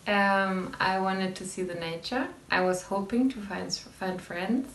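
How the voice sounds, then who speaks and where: A woman's voice: mid-range voice, steady tone, unhurried, reverberant, low-quality recording. A woman in her twenties from Germany, a student answering interview questions casually and hesitantly. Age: 20s. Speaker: exchange student.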